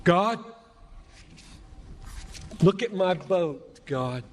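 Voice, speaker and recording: A man's voice: high-pitched, lively intonation, drawn-out words, a little hiss or hum. A man preaching an impassioned sermon, pleading and emphatic, hammering phrases through dramatic repetition. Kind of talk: impassioned sermon to a large gathering. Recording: echoey large hall with long reverb.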